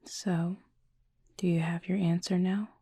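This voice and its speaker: Woman voicing a soft, husky, low-pitched gentle Cupid. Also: little pitch movement. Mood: gently teasing, caring. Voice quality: soft, breathy, husky, often near-whisper. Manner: slow, measured, formal storytelling with soft pauses. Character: gentle adult Cupid roommate, wistful, affectionate, softly teasing. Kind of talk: intimate ASMR audio roleplay.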